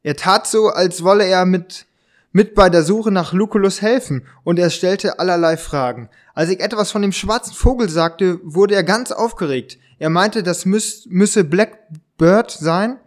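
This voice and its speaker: A man acts out a polite but agitated writer in a detective radio play. His voice sits very high for a man. He speaks haltingly and trips over his words, as in an amateur production. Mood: puzzled. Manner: halting, stumbling over lines.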